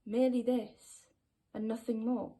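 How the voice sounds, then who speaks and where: A woman's voice: on the high side, level tone, unhurried, dark tone. A woman reading a poem aloud as a dramatic recital.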